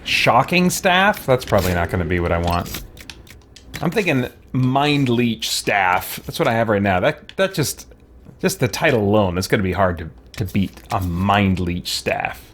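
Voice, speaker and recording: A man's voice: fairly low pitch, expressive, moderate pace, fairly bright tone. A man giving casual, playful video game commentary, musing to himself as he plays. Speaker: gamer hosting a let's play. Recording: clear game noise behind voice. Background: video game ambience.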